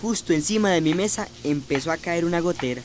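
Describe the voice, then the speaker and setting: Cheeky grumbling schoolboy for radio serial played by a male actor, higher pitch, slight noise. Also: expressive, fairly quick, natural voice. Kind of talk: Spanish-language radio drama acting. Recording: faint background noise.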